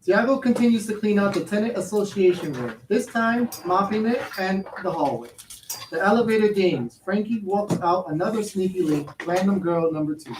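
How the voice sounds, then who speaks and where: A woman's voice: mid-range voice, expressive, moderate pace. A woman reading stage directions as scripted narration for a staged play reading. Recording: echoey, distant, faint noise.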